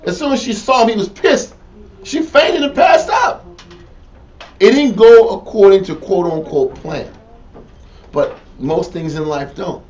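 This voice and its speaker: A man's voice: sits high, unhurried, long pauses. A man leading a casual Bible study, talking in slang and acting out the scenes. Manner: animated, slangy, acts out scenes. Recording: distant lo-fi room recording with faint noise.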